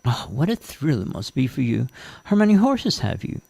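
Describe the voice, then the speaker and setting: A man's voice: mid-range voice, very animated, moderate pace, creaky, a little mellow, husky, no room sound, exaggerated voice. A man voicing a bold, chatty, ambitious young woman in a told story, eager and sweet-talking. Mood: polite, flattering. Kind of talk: character voice in story narration.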